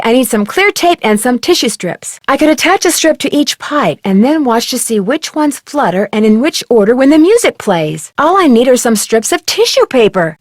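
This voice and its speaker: A woman playing an upbeat, curious and polite young amateur detective in scripted adventure game lines, clearly enunciated. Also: fairly high pitch, dry recording, studio quality. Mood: pondering. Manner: perky, clearly enunciated, musing to herself or guiding the player. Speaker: video game heroine and tutorial guide.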